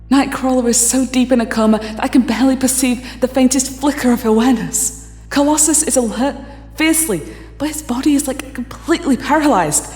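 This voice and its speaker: A woman as a weary telepath in deep echo. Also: warm tone, close to an everyday voice. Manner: reserved, careful, polite. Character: weary, polite, self-doubting female telepath. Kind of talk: audio drama acting. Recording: echoey hall reverb, music underneath.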